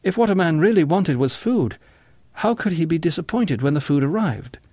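A man's voice: a little high, lively intonation, moderate pace, a little mellow, no room sound, voice pushed up. A man voicing an earnest, naive young man in an audiobook, hesitant and politely protesting. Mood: troubled, protesting.

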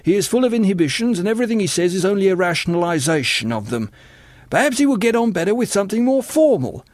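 A man's voice: high voice, big pitch swings, fast talker, no echo, voice pushed up. A man voicing a glib, patronising young intellectual in an audiobook, chatty and condescending.

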